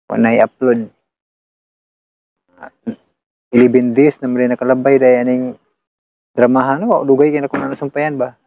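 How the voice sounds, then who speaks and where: A man's voice: medium pitch, level tone, moderate pace, gravelly, long pauses, dry recording. A man with a Cebuano Filipino accent, chatting casually and rambling to his listeners as a channel host. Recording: muffled phone-like close recording.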